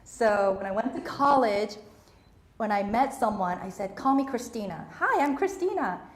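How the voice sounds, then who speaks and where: A woman's voice: medium pitch, animated, normal speed, roomy sound. A young woman, a native Korean speaker raised in Southeast Asia, giving an emphatic motivational talk on stage and repeating her key phrases. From Korea, raised in Malaysia and Singapore.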